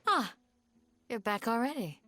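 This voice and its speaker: A woman playing a calm, kindly village guardian in an anime game dub, explaining things in a composed, measured way. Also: fairly high pitch, over music. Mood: calm, knowing.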